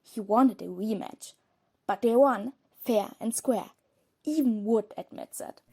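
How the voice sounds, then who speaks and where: Subdued teen student, played by a woman: highish, mellow, plain and reluctant delivery for audio drama. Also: unhurried, breathy edge. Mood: subdued, resigned. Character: subdued teenage student breaking bad news gently.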